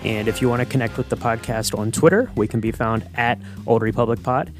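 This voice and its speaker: A man's voice: medium pitch, a little fast, faint music behind. A man co-hosting a podcast in casual fan chat, with a rambling, hesitant way of talking. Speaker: podcast co-host.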